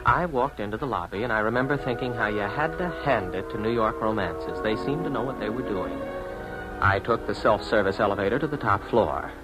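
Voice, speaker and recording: A man's voice: fairly low pitch, brisk, lightly acted, low-quality recording. An actor with an American accent playing a lonely city man in a 1950s radio drama, narrating his own awkward romance. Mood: wistful, reflective. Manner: hesitant and awkward in dialogue. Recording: vintage 1950s radio broadcast, music behind.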